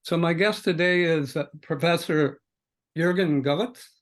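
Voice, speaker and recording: An older man hosting a podcast conversation in an unscripted, halting way, as if thinking aloud. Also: fairly high pitch. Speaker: podcast host. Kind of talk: conversational scripted-free interview introduction.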